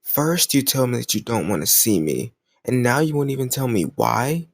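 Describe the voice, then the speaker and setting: Man playing a cocky, gravelly flirt. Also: mid-range voice, expressive, slight background noise. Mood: pleading, playful. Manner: teasing, cajoling, smug, drawn-out words. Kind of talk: intimate romantic audio roleplay.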